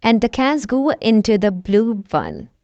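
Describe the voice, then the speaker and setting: A woman's voice: mid-range voice, huge pitch swings, a little slow, darkish tone, no echo, dull audio. A woman voicing a cheerful, eager little girl pig from a storybook in a childlike way.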